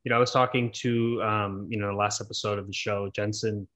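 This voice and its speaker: A man with a lowish voice hosts a podcast, talking quickly and peppering his questions with fillers. The sound is dry and close, with no room to it. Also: flat delivery, fairly bright tone, no echo. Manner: quick, with many fillers, asks long questions. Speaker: podcast host. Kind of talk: casual interview chat.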